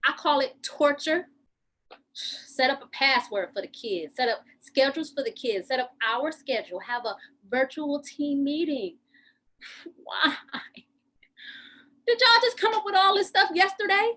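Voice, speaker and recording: A woman's voice: high voice, lively intonation, a little slow, bright, forceful tone, full character voice. A woman playing a fed-up, sarcastic schoolteacher in a dramatic monologue, complaining emphatically. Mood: exasperated, sardonic. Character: fed-up sarcastic female schoolteacher, worn out by online classes. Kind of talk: stage monologue in a lockdown drama.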